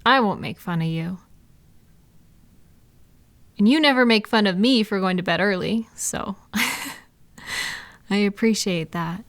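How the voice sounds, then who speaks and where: A woman playing a supportive, gentle female friend in an intimate, casual comfort roleplay, speaking in a reassuring, validating way. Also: medium pitch, a little slow, long pauses, no echo, lightly acted. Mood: reassuring, affectionate. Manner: reassuring, validating, softly indignant on listener's behalf. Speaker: audio roleplay performer.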